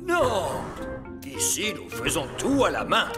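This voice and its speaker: Casting: excitable, self-important cartoon elf craftsman, very animated delivery, long echo, music behind. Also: high-pitched, slow pace, heavily acted. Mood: firm. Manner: pompous, excitable, explanatory. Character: officious, fussy male elf craftsman in charge. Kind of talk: French cartoon dub acting.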